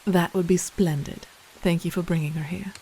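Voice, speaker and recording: A woman's voice: fairly low pitch, steady tone, moderate pace, mellow, husky, poor audio. A woman playing a kindly, self-assured young princess in an audio play, with proper, gracious manners.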